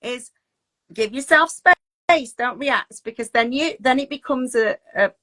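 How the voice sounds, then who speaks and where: A woman with a fairly high, bright voice talks like an informal coach. She is encouraging and chatty, often seeking agreement with small tag questions. The microphone sits at a distance from her. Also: animated, unhurried. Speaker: teacher wellbeing coach leading a talk.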